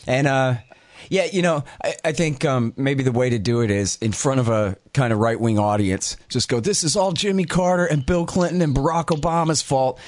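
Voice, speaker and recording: A man with a somewhat low voice hosts a talk show, chatting in a loose, casual way full of fillers and asides. The sound is dry and close to the mic. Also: no echo. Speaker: podcast host.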